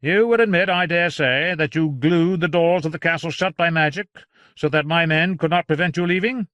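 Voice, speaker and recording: A man's voice: on the high side, moderate pace, a bit bright, no room sound, pitched above the performer's own voice, natural voice. A man voicing a pompous male royal physician who speaks in a haughty, commanding, scornful way. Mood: accusing.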